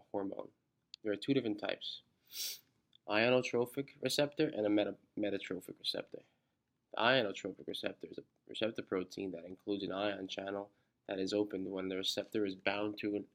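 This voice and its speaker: A congested man reading a study outline aloud, halting and restarting on technical words. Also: on the low side, creaky. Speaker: student presenter.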